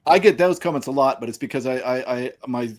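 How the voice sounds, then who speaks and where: A man's voice: medium pitch, fast talker, no room sound. A man hosting a casual podcast chat, rambling with many fillers and restarts and chuckling now and then. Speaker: podcast host.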